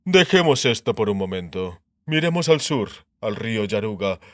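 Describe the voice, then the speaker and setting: A man voicing an assured, sharp-minded king in an audiobook, lecturing on war in declarative phrases and rhetorical questions. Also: on the low side, sing-song, normal speed, no room sound, studio quality. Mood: grave, emphatic. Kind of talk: dramatised audiobook dialogue in Spanish.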